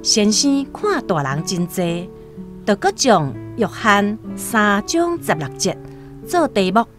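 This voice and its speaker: A woman's voice: mid-range voice, big pitch swings, slow. A woman with a Taiwanese accent narrating a scripted literary radio story expressively. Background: soft plucked-string music.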